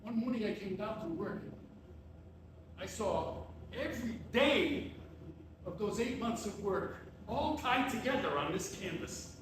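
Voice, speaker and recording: A man's voice: high voice, expressive. Man acting an anguished painter, distant and very echoey. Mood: brooding, reflective. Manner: halting, repeats words, builds into urgent questions. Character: anguished obsessive male painter, fearful and intense. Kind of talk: live stage play acting. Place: tavern theater performance, recorded from a distance. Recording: echoey room, far-off mic, clear noise.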